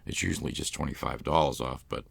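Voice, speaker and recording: A man's voice: rumbling low, fast, creaky, husky, no room sound. A man with a Boston accent, hosting a live stream in loose, rambling casual chat. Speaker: ASMR channel host.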